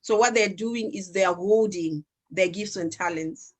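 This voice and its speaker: A woman from Zimbabwe whose first language is Shona, speaking on a panel in an earnest, reflective way with pauses and restarts. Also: mid-range voice. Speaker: panelist, founder of an arts organization. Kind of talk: panel discussion.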